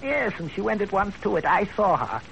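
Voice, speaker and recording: An actor playing a wily, talkative old matchmaker who hems and repeats himself, in a 1930s radio drama. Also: male voice, high-pitched, sing-song, fairly quick, gravelly, a bit bright, exaggerated voice. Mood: matter-of-fact, busy. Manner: rambling, hemming, repeats phrases. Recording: noisy, crackly 1930s radio broadcast.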